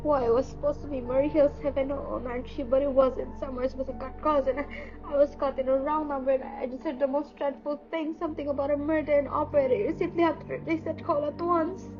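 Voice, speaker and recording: A woman's voice: high-pitched, fairly even intonation, quick pace. A young woman playing a nervous, demanding invalid wife in a radio play, fretful and insistent in a melodramatic way. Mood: horrified. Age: young adult. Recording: muffled student recording with music underneath.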